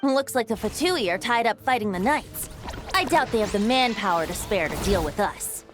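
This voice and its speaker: A woman's voice: medium pitch, lively intonation, moderate pace, music in the background, close to an everyday voice. A woman playing a keen young adventurer in a fantasy video game. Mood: alert.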